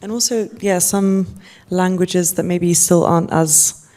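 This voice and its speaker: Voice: mid-range voice, fairly even intonation, a little slow, a little mellow. An editor from Kosovo whose first language is Albanian, speaking on a live panel in a hesitant way, often searching for words.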